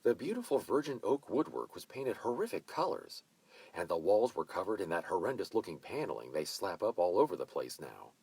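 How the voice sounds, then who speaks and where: A man voicing a bossy, effusive mature woman who hosts a wedding party and gives orders briskly. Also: sits low, sing-song, a little mellow, slightly rough, no room sound, pitched above the performer's own voice, heavily acted. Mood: indignant. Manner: brisk, commanding, gushing.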